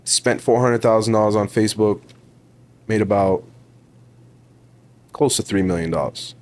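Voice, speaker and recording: Low-voiced young man with a Toronto accent. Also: moderate pace, a little mellow. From Ontario, Canada. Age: 20s. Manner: emphatic, motivational, repeats words, calls the host bro. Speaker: podcast guest. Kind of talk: casual interview talk.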